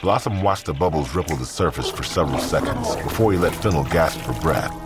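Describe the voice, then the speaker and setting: A man's voice: rumbling low, lively intonation, fairly quick. A man narrating a scripted audio drama. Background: music.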